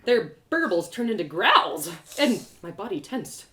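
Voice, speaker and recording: A woman reading a first-person story aloud with expressive, playful, dramatic delivery. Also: mid-range voice, measured pace, a little hiss or hum. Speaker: author reading a story live.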